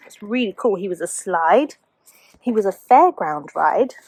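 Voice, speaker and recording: A woman's voice: mid-range voice, animated, a little slow, a little mellow, slightly rough. A woman, a teacher, reading a children's storybook aloud expressively to young children.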